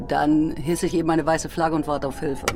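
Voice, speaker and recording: A woman's voice: low-pitched, level tone, moderate pace, background noise. A woman playing a guarded young captive soldier who passes as male in a radio drama, terse, suspicious and sardonic. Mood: threatening. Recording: music behind the voice. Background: music.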